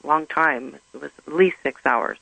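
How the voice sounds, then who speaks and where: A middle-aged woman whose first language is German, speaking earnestly and haltingly as an interview guest. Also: low voice, vocal fry, fairly bright tone, slightly rough, dry recording, rough recording. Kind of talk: personal testimony in conversation.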